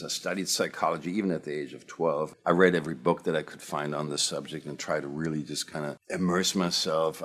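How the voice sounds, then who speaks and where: Very deep-voiced man in his fifties. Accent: slight German. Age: 50s. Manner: reflective, earnest storytelling. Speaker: self-help speaker. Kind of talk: personal monologue to camera.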